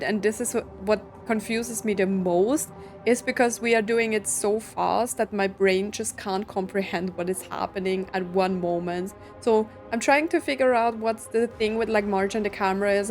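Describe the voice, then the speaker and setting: A woman doing casual let's play commentary, slangy and puzzled as she thinks aloud. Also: mid-range voice. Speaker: streamer reacting to a game story. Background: faint game music and dialogue.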